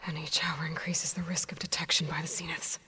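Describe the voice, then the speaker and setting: A woman's voice: sits low, little pitch movement, a little fast, noisy background, lightly acted. A woman acting a determined, blunt young warrior who commands with resolve in a video game cutscene. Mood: focused, tense. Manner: direct, resolute.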